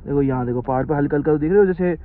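A man's voice: on the high side, racing, narrow, tinny audio. A young man in his twenties vlogging a road trip, chatting and joking crudely with friends from a car and a forest trail, filmed on a handheld phone. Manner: joking, crude banter, addresses viewers directly. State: out of breath on steep climb. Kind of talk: casual travel vlog chat with friends. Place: inside a car on mountain roads, then outdoors on a forest trail. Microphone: phone camera held by hand.

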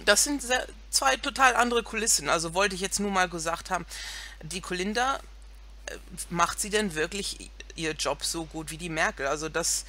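Thirties woman co-host, English first language, bright tone, headset at home, halting delivery. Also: on the low side. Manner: hesitant, many restarts, laughs often. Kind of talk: casual chat.

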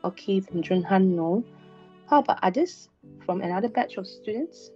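A woman, a university lecturer giving a chatty online class briefing, her voice slightly hoarse after a sore throat, with frequent fillers and "all right" checks. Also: medium pitch, expressive, moderate pace, soft background music. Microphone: video call webcam. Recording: dull lo-fi online class recording.

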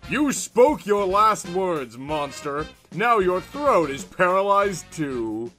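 A man's voice: sits high, sing-song, takes it slowly, bright, forceful tone, soft background music, full character voice. A man playing a stern, scheming husband, melodramatically, in a horror comic reading. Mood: vengeful. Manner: melodramatic, emphatic.

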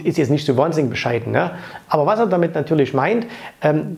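Blunt man with a gravelly voice. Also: on the high side, darkish tone. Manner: blunt, opinionated, with frequent fillers and tag questions. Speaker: finance coach and channel host. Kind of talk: casual to-camera advice talk. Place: office with framed pictures, indoors. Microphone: lapel mic.

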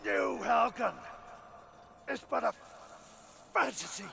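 A man's voice: extremely high pitch, lively intonation, creaky, sharp tone, a little hiss or hum, heavily acted. A man playing a proud, cruel warlord in a video game cutscene, taunting in a theatrical, grandiose way. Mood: grandiose menace. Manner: taunting, slow grandiose delivery.

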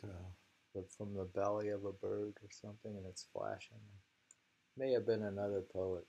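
A man in his sixties with a low voice reads short poems aloud at a slow pace. He is informal and halting, often musing and repeating what he reads. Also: a little mellow, low-quality recording. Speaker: poetry reader. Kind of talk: informal reading of haiku aloud with casual remarks. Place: small plain room indoors.

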